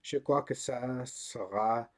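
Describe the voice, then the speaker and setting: A man speaking French as a second language, hosting his own vlog, hesitant and searching for words with many pauses and fillers. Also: medium pitch. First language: not French. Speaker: channel host. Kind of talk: casual vlog talk to camera.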